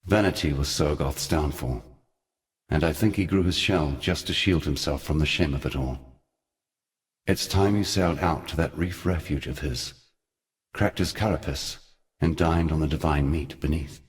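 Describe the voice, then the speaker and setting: A man playing an ancient, sardonic god in a video game, telling dark lore in a measured, theatrical and eloquent way with a weighty voice. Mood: grave, sardonic. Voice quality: very deep, weighty voice. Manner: measured, theatrical, wry storytelling. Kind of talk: scripted video game character monologue.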